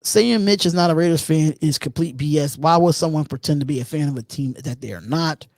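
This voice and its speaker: A man in his thirties hosting a live call-in show on his own, talking casually, repeating phrases and pausing on drawn-out ums while he reads out chat comments. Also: on the high side, a little mellow, dry recording, clean studio sound. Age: 30s. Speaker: host.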